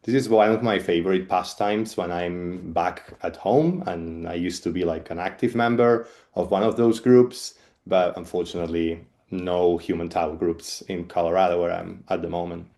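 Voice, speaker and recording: A man's voice: deep voice, fairly even intonation, moderate pace, few pauses, a little mellow. A man from Catalonia in his thirties with a Spanish accent, giving a webinar talk as a biologist, hesitant with many ums and restarts. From Spain, Catalonia. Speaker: guest speaker, evolutionary biologist. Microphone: video call.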